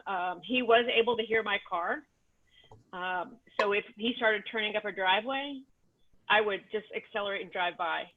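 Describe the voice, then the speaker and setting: A woman from Texas, a mobility instructor, telling a chatty story with many fillers over a video call. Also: medium pitch. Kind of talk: casual anecdote on video call. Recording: tinny phone-like line.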